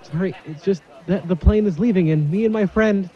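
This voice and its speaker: An anxious, loyal young man played by a man in an audio drama. He sounds earnest and urgent, high in pitch, in slightly dull audio. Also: brisk, natural voice. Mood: anxious, determined.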